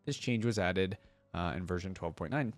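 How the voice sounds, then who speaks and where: A man's voice: low-pitched, moderate pace, faint music behind. A man hosting a reaction video, reading wiki text aloud and adding casual, curious comments. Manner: casual, curious asides between read passages. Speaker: host of a game lore reaction series.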